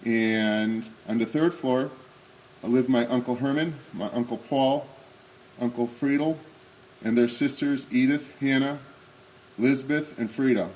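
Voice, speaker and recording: A man in his sixties giving a remembrance presentation at a synagogue, showing slides and reading memoir excerpts aloud, with frequent pauses and fillers. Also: mid-range voice, steady tone, darkish tone, slight background noise, low-quality recording.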